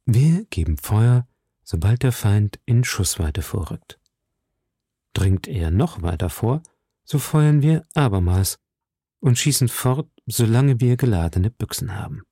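A man voicing a composed, dry-witted musketeer leader in an audiobook, speaking calmly and deliberately with an indifferent air. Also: mid-range voice, breathy edge, dry recording, heavily acted. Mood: commanding.

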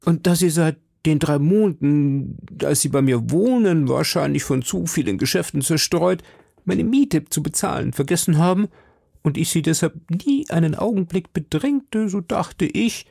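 A man's voice: fairly high pitch, lively intonation, moderate pace, creaky, darkish tone, husky, dry recording, studio quality, voice pushed up, heavily acted. A man voicing a timid, deferential middle-aged landlord in an audiobook, hesitant and formally polite. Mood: nervous, deferential. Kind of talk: audiobook character dialogue.

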